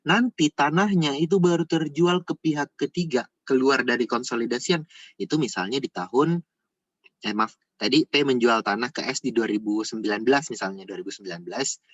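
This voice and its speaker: A man tutors informally in a husky, fairly low voice. He explains step by step, circling back over his phrases and checking in with short tags. The recording is muffled and dry. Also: a little fast, no room sound. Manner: repeats himself, frequent checking tags. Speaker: accounting tutor.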